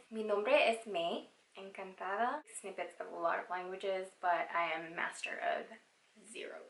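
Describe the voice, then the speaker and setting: A woman's voice: mid-range voice, sharp tone, roomy sound, rough recording. A woman in her thirties from Southern California, chatting sassily and playfully through a get-to-know-me question video. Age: 30s. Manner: sassy, playful, exclamatory. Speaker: vlogger. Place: home, sitting down.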